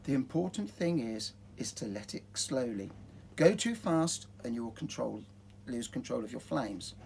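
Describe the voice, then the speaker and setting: A man voicing a gentle, patient mother dragon in a storybook, softly and soothingly. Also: medium pitch, animated, normal speed, gravelly, slight background noise. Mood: reassuring, patient.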